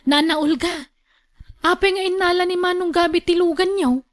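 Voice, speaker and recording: A young household helper with a Filipino accent, very high in pitch, polite and inquisitive as a woman acts her in radio drama style. She is deferential to her elders but asks a lot. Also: normal speed. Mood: curious. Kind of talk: Ilocano radio drama acting.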